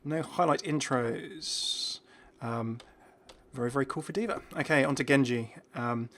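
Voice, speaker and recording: A man's voice: medium pitch, measured pace, a bit bright, slight background noise. A man hosting a gaming video, talking through game cosmetics with casual, enthusiastic, rambling reactions.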